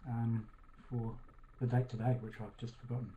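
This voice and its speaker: A man's voice: mid-range voice, flat delivery, normal speed. A man hosting an online talk session, introducing speakers with many ums and uhs. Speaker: event host. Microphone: webcam on a video call. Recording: tinny call audio with faint noise.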